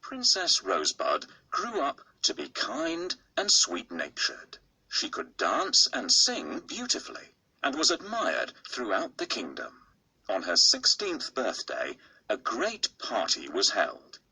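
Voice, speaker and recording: A man narrating a bedtime storybook in a slow, expressive, husky, gravelly storytelling voice. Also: mid-range voice, no room sound, rough recording. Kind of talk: bedtime storybook read-aloud.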